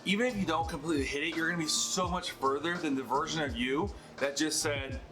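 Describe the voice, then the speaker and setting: A man's voice: fairly high pitch, a little fast. A man in his thirties talking casually to the camera in a home office, rambling and correcting himself as he goes. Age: 30s. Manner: rambling, filler-heavy and self-correcting. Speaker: business vlogger. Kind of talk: casual talking-head vlog. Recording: echoey room with light music. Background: heater humming.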